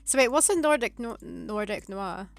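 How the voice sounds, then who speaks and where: A woman with a mid-range, gravelly voice joins a casual stream chat. She asks short, curious questions and laughs easily. Faint music plays in the background. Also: medium pitch, lively intonation, normal speed.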